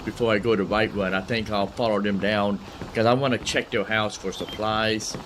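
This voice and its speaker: Male gaming streamer, lowish voice, folksy unhurried play-by-play, loud game ambience behind him. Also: fairly even intonation. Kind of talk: casual gameplay commentary. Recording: loud background noise under commentary. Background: game ambience and dialogue.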